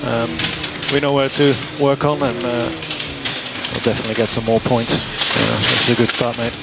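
Male race engineer, flat and creaky, halting delivery, very noisy radio. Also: medium pitch, loud music behind the voice. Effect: team radio. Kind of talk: team radio.

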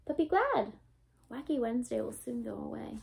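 Woman reading as a kindly storybook policeman, higher-pitched. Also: animated, normal speed, vocal fry, background noise. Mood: reassuring. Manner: reassuring. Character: kindly, cheerful policeman, grown man.

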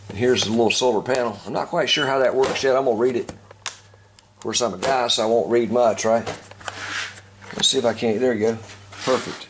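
A man hosting a casual hands-on product review, talking in a folksy, informal, halting way. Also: mid-range voice, steady tone. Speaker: host of a product review video channel. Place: at a tabletop, later out in a backyard. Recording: clear background noise, home camera recording.

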